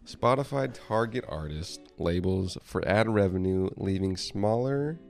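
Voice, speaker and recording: A man's voice: deep voice, lively intonation, measured pace, gravelly, a little mellow, soft background music. A young man co-hosting a podcast, rambling and swearing casually as he talks. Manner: rambling and profane, trails off mid-thought. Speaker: podcast co-host. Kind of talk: casual chat.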